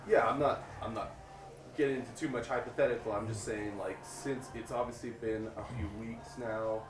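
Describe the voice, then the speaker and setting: A man's voice: medium pitch, steady tone, normal speed. A man asking informal questions as an interviewer. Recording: distant, echoey mic with clear noise.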